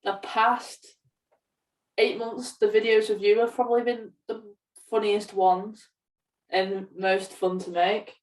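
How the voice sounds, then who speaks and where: A woman's voice: mid-range voice, level tone, slow pace, a little mellow. Woman chatting in an echoey room. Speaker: podcast co-host, gaming video creator. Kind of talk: casual podcast chat. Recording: echoey room, distant from mic.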